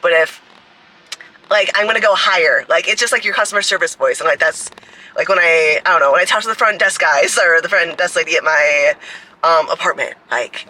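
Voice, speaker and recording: Deep raspy-voiced young woman rambling. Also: brisk, bright, forceful tone, low-quality recording. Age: 20s. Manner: rambling, heavy "like" fillers, swears freely. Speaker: fitness coach and creator. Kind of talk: casual vlog talk to camera.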